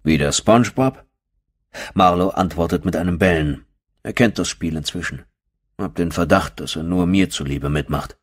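A man's voice: deep voice, fairly even intonation, normal speed. A man narrating a first-person horror audiobook as a storyteller.

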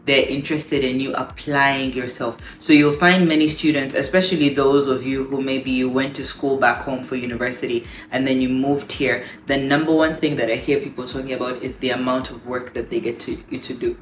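A woman in her thirties from Uganda, a pastor giving lively, emphatic advice on a couch, repeating phrases for stress. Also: extremely low pitch, a little fast, hardly any pauses, slight background noise. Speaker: pastor and guest. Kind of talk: casual advice talk. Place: living room, on a couch. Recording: roomy echo, faint music.

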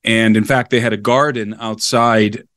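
A man's voice: on the low side, fairly even intonation, moderate pace, a bit bright, no room sound, clean studio sound. A man speaking as a podcast guest, telling history conversationally in an enthusiastic, explanatory and digressive manner. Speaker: podcast guest, explorer and researcher.

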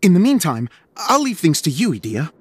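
An actor playing an excitable, flustered middle-aged theater director in a video game. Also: male voice, high voice, a little fast, noisy background. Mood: excited. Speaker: theater director and scriptwriter character.

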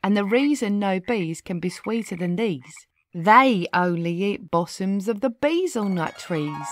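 A woman narrating rhyming children's verse in an expressive, playful, deliberate storytelling manner. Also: mid-range voice.

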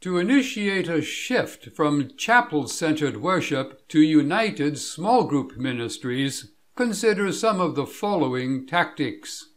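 Man, somewhat high pitch, very slow pace, deliberate scripted narration, measured delivery. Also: very animated.

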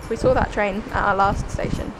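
A woman's voice: mid-range voice, steady tone, moderate pace, background noise. A woman in her twenties with an English accent, chatting casually in a vlog on a handheld camera. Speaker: vlogger and dog handler. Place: kitchen, train and station platform. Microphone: handheld camera at arm's length.

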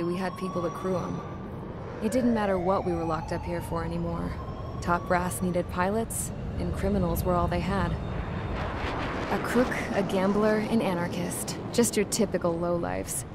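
An actress playing a jaded young mechanic in a penal unit, narrating in a sardonic, world-weary voice. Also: female voice, on the low side, heavy background noise, natural voice. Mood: bitter sardonic. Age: young adult. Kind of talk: scripted dramatic monologue narration. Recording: dramatic music loud behind.

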